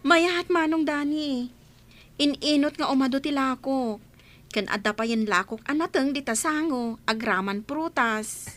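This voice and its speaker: A woman's voice: sits high, measured pace, fairly bright tone. A woman playing a grateful, honest village storekeeper, polite and cheerful in an Ilocano radio comedy drama.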